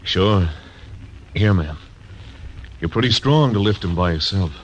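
An actor playing a tough frontier marshal who narrates dryly and speaks tersely, in 1940s radio acting style. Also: male voice, low-pitched, lively intonation, a little slow, a little hiss or hum. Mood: wary, dry. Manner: terse, dry, laconic. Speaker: narrator and lead.

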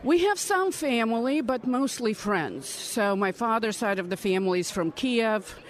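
A woman's voice: fairly high pitch, expressive, moderate pace, poor audio. Earnest woman in her fifties. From Ukraine. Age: 50s. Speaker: congressional candidate. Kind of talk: interview answers. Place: political conference.

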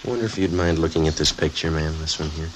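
A very low-voiced detective from an old police radio drama. He is methodical and courteous, giving facts in a flat, clipped, deadpan manner on a vintage broadcast. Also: fast, a little hiss or hum. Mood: polite, probing. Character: male police detective, methodical, polite, matter-of-fact narrator. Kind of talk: 1950s police radio drama narration and dialogue.

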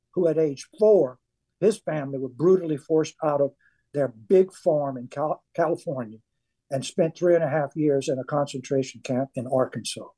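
Earnest older Cajun man, husky. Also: on the high side, warm tone, poor audio. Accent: Cajun Louisiana. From South Louisiana. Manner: earnest, emphatic storytelling. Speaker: author and former weightlifter, guest. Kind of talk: radio interview.

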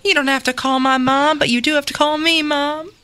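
A woman's voice: sits high, fairly even intonation, a little fast, background noise, close to an everyday voice. A woman playing a sad, anxious, pleading Southern mother in a melodramatic comedy scene, tearful and cloying. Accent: American South.

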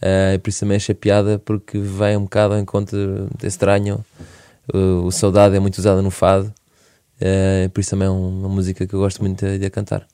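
A male singer with a European Portuguese accent talks as a guest in a radio chat. His voice sits low and warm with little rise and fall. He speaks in a relaxed, halting way, often starting a phrase over. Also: clean tone, dry recording, clean studio sound. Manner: relaxed, hesitant, many restarts. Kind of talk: casual radio interview.

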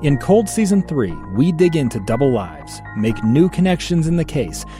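A man's voice: fairly high pitch, big pitch swings, moderate pace, warm tone. Man narrating a true-crime promo over music. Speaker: podcast ad narrator.

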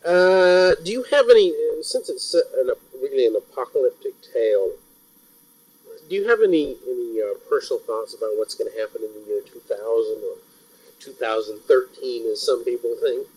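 A man's voice: medium pitch, animated, moderate pace, darkish tone, breathy edge, low-quality recording. An American man working as an interviewer, speaking conversationally as a journalist. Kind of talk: interview questions and spoken sign-off.

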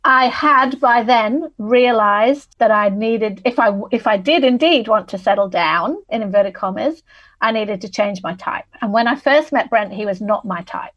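A woman's voice: fairly high pitch, moderate pace, fairly bright tone, highs cut off. A woman in her forties from England with a Manchester accent, talking candidly and reflectively as an interview guest. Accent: Mancunian English. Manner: candid, reflective, laughs easily. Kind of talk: conversational interview.

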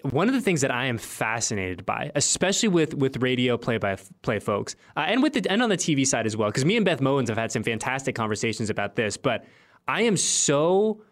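A man's voice: medium pitch, very animated, a little fast. An American man speaking as an upbeat, chatty sports show host and interviewer, full of enthusiasm. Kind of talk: upbeat sports podcast talk.

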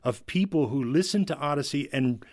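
A man's voice: on the high side, animated, normal speed, a little mellow, no room sound, studio quality. A man speaking as a guest in a casual panel discussion, describing things in a conversational way. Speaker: guest from an audio drama team.

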